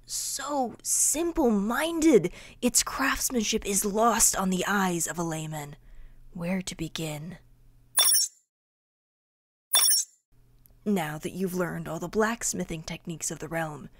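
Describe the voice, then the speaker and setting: A woman's voice: on the low side, measured pace, no echo. A woman playing a haughty, vengeful royal heir and swordmaster, speaking formally in a fantasy audio drama. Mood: solemn, haughty.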